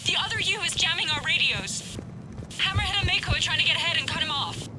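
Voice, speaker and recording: A woman's voice: very high-pitched, lively intonation, fast talker, heavy background noise. An actress playing an earnest, anxious squadmate in a video game, polite and urgent as she reports to her commander. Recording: loud game music behind.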